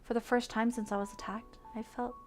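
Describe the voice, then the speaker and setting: Giddy high-voiced woman streamer. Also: brisk. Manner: giddy, excitable, gushing. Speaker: livestreamer playing visual novels. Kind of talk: casual reacting and expressive read-aloud narration. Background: game music.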